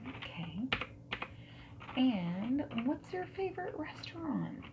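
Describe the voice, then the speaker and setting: A woman playing a friendly dating service interviewer in a soft-spoken ASMR roleplay, breathy and often slipping into a whisper, gentle and reassuring. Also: high voice, big pitch swings, dull audio, full character voice. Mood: friendly, curious. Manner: gentle, unhurried, reassuring, asking questions. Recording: background noise with keyboard typing and clicks.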